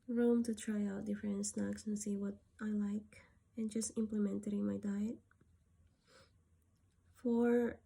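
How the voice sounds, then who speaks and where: A woman from Peru talking through a personal gratitude list, softly and hesitantly, with many pauses and fillers. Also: mid-range voice, gravelly, breathy edge. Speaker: vlogger.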